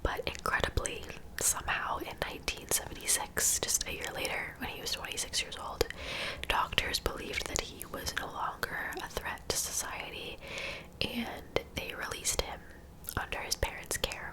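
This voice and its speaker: A woman telling a story in a soft, breathy whisper, ASMR style, with gentle, informal, confiding asides. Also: rough recording. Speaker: host of a true crime ASMR series.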